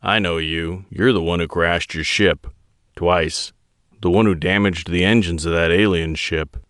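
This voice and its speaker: A man voicing a stern, commanding navy admiral who speaks in slow, icy, clipped orders. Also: low voice, steady tone, fairly bright tone, no echo, clean studio sound. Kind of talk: audiobook character voice.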